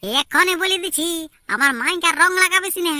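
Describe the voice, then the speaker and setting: A woman's voice: very high-pitched, moderate pace, sharp tone, no room sound, studio quality, full character voice. A woman voicing an indignant, tattling little village boy in a comic cartoon, with a rural Purulia Bengali accent. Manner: scolding, tattling. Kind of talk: amateur comic cartoon dialogue.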